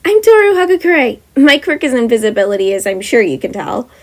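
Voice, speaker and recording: A woman's voice: fairly high pitch, sing-song, a little fast. A woman voicing an upbeat invisible teenage girl with a chirpy manner.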